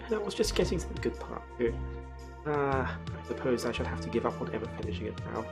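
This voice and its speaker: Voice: medium pitch, very animated, quick pace, over loud music. A streamer voicing a polite, well-spoken male goblin guard with formal, courteous manners from a text adventure game. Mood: mildly put out. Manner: formal, ornate, courteous phrasing.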